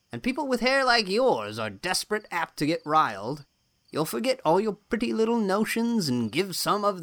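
A woman voicing a blunt, teasing old farmer man who drawls in a gruff, rustic way. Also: mid-range voice.

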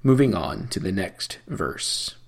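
Slow, measured man teaching chant. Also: low voice, sing-song, gravelly. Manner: slow, measured explanation between chanted phrases. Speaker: cantor teaching Torah chanting. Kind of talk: explaining and chanting scripture.

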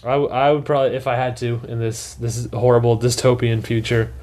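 A man's voice: mid-range voice, little pitch movement, a little mellow. A young man co-hosting a comedy podcast, teasing his friends in casual banter. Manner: teasing, quick, jokey. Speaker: podcast co-host.

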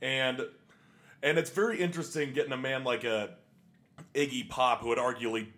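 A man's voice: medium pitch, fairly even intonation, moderate pace, fairly bright tone. Podcast co-host, man, casual chat, hesitant and rambling delivery. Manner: rambling, hesitant, lots of fillers and restarts. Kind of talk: casual chat about punk music. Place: Salt Lake City, USA.

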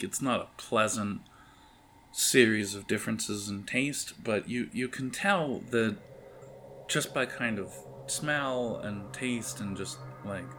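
A man with a low voice narrates a tabletop horror game as its game master. He speaks very slowly and haltingly, improvising as he goes. There is some background noise on the recording. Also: a bit bright. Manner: halting, searching for words.

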